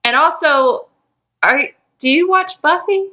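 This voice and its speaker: A woman's voice: high voice, lively intonation, takes it slowly, bright, forceful tone. A woman speaking as a podcast co-host who chats and reads her own fan fiction. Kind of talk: casual chat and reading own fan fiction aloud.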